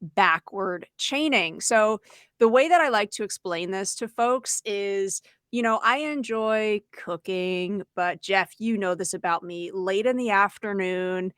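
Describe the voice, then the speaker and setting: A woman's voice: mid-range voice, unhurried, fairly bright tone, clear, resonant tone, no echo, clean studio sound. A woman co-hosting an education webinar, explaining ideas in a conversational way. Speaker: podcast co-host and education trainer.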